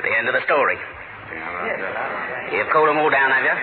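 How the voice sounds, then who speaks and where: An actor playing a cocky, sardonic British secret agent in a 1970s radio drama, talking in a casual, dry, needling way. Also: male voice, medium pitch, lively intonation, fairly quick, crowd noise, close to an everyday voice. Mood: brisk, controlled. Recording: noisy narrowband 1970s radio recording.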